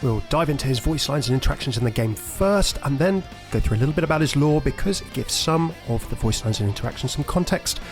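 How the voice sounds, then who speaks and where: Gravelly-edged man narrating an explainer. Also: medium pitch, animated, fast talker. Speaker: video narrator. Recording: music behind.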